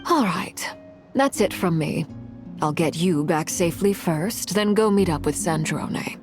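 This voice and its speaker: A commanding, self-possessed woman from a video game cutscene. She speaks slowly and formally in a fairly low voice, calculating but quietly caring, with music underneath. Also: expressive. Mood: reflective, advising. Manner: measured, formal, deliberate.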